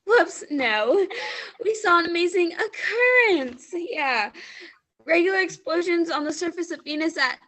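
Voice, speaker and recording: Brief: a young woman as a muddled astronomer, very high, bouncy, slow-talking. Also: expressive, fairly bright tone, heavily acted. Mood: flustered. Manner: flustered, losing her lines, giggly. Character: flustered veteran female astronomer, clueless. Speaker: student actor. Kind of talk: stage radio-play acting.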